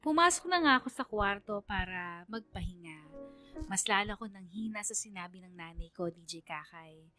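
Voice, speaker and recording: A Filipino woman working as a radio DJ, narrating a listener's letter as a scripted dramatic story in an earnest, measured way. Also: mid-range voice, animated, sharp tone, faint music behind. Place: dry studio, music behind.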